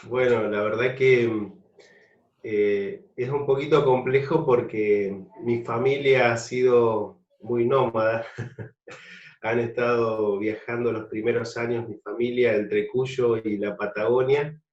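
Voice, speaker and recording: Argentine pastor, distant and roomy. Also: male voice, mid-range voice, fairly even intonation, takes it slowly, darkish tone. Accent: Argentine Spanish. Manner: reflective, fond storytelling. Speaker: pastor guest. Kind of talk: interview testimony. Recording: distant, roomy, dull sound.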